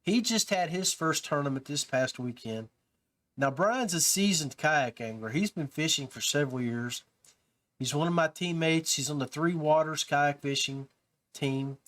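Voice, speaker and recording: A man's voice: on the high side, fairly bright tone. A man hosting a podcast, talking casually and informally straight to his listeners. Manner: informal, addresses listeners as guys and peeps. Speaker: podcast host.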